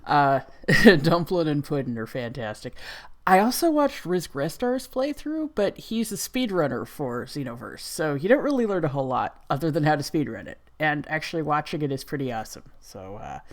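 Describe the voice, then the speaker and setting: A woman's voice: sits low, very animated, normal speed. A woman reacting casually and off the cuff, with wry, skeptical asides. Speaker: reaction video host.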